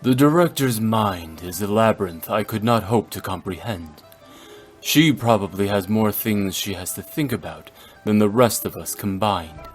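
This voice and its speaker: A man playing a calm, learned, dignified gentleman in a video game, speaking formally and with composure. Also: lowish voice, moderate pace, natural voice. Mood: composed, reflective. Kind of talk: measured game voice acting. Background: background music.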